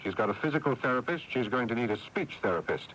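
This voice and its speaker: A man playing a weary, responsible brother in a radio drama, measured and reflective, exasperated with his family. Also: animated, a bit bright, lightly acted. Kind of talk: radio drama acting with first-person narration. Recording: noisy rumbling AM radio recording.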